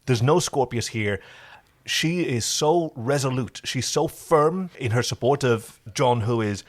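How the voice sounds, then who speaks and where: A man co-hosting a podcast, recapping in a casual, enthusiastic, animated way. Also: mid-range voice, a little slow. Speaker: podcast co-host. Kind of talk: casual episode recap chat.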